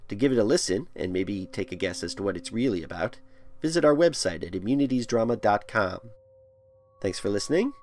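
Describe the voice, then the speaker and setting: A man's voice: on the low side, lively intonation, brisk, darkish tone, slight background noise. A man delivering a scripted commentary as a podcast host. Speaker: podcast host and audio drama maker.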